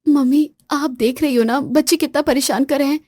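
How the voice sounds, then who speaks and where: A woman voicing a boastful, sharp-tongued young wife in a spoken story, talking in a taunting, frazzled way. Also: fairly even intonation, fairly quick, dry recording, studio quality, natural voice. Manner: taunting, then shrill and frazzled.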